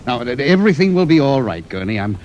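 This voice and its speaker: A man's voice: mid-range voice, big pitch swings, fast, darkish tone, lo-fi sound, slight background noise, lightly acted. A man playing an uneasy boss, hesitant and placating, in 1950s radio drama style. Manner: hesitant, soothing.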